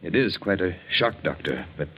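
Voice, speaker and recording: A man's voice: low-pitched, lively intonation, normal speed, pushed and bright, husky, exaggerated voice. An actor playing a reserved, polite older married man in a restrained 1940s radio drama style. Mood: subdued, concerned.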